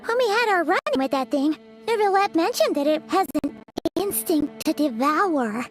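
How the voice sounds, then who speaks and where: A woman voicing a tiny, excitable, childlike fairy companion in a video game, chatty and cutesy. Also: moderate pace. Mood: curious. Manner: excitable, squeaky, cutesy exclamations. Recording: loud game music under the voice.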